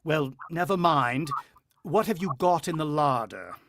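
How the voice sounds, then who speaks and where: A man's voice: fairly high pitch, animated, a little slow, creaky, a bit bright, dry recording, exaggerated voice. An actor playing a worried, watchful country father who also narrates, in the style of a vintage radio drama. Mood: uneasy, urgent.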